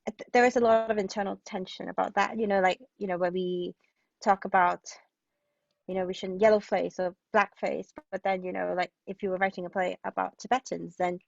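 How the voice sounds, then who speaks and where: Woman playwright being interviewed, gravelly edges, thinks out loud and restarts a lot, dry close sound. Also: medium pitch, fairly even intonation, dry recording, dull audio. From Hong Kong. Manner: thoughtful, halting, self-interrupting. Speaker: guest playwright. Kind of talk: conversational interview answers.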